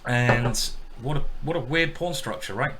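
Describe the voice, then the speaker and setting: A man's voice: medium pitch, normal speed, a bit bright, slight background noise. A man giving casual, jokey live-stream commentary on his own chess game, greeting viewers as he thinks aloud.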